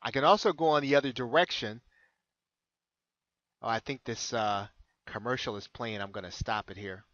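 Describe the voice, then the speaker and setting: An American man talks through an unscripted on-screen tutorial in a mid-pitched voice. He is hesitant, circling back and repeating phrases as he explains. The sound is dry and close, with a dull, lo-fi edge. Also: moderate pace, long pauses, a bit bright, no echo. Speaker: online marketing consultant giving a tutorial.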